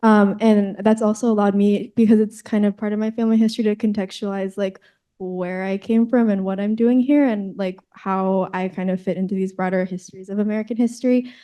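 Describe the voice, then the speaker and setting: A woman's voice: mid-range voice, steady tone, brisk, warm tone, clear, resonant tone. A young woman answering on a scholarly panel, earnest and reflective with frequent fillers.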